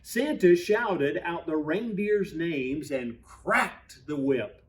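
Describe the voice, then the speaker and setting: Male storybook reader, pastor type, slightly high-pitched, slow and expressive, pausing for drama, reading to children. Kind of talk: storybook read-aloud for children.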